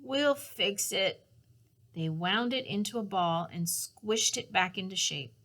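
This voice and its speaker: A woman's voice: fairly low pitch, moderate pace, fairly bright tone. A woman narrating a children's storybook as a read-aloud. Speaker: storybook reader.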